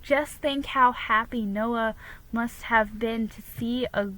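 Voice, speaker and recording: A woman's voice: fairly high pitch, fairly even intonation, slow pace, pushed and bright, poor audio. A woman voicing an earnest, protective young girl, a hopeful big sister in a storybook. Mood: reassuring.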